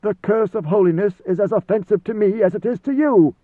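A man voicing an ancient, godlike ruler who speaks in a calm, knowing, lordly and deliberate way, as in an audiobook. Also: sits high, pitched above the performer's own voice. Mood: wry, imperious. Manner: measured, deliberate, formal. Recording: slightly roomy, phone-like narrow sound.

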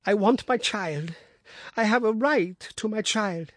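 A man's voice: a little slow, a bit bright, dry recording, clean studio sound. A man voicing a distraught, anguished young wife who pleads in an emotional, raised voice. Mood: adamant. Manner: pleading, emotional, high-pitched.

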